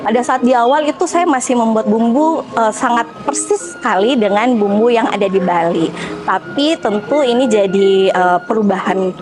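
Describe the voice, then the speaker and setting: A young woman who owns a restaurant talks in an interview about running her business, speaking in a measured way with frequent pauses and hesitation fillers. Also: fairly high pitch, low-quality recording. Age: young adult. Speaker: restaurant owner. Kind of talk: interview testimonial. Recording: music bed behind voice.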